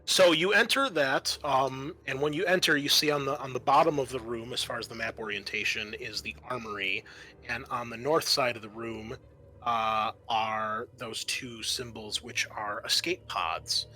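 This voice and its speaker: A man narrating a tabletop roleplaying game as game master, explaining things in a halting, improvised way. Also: mid-range voice, bright, forceful tone, slight background noise, rough recording.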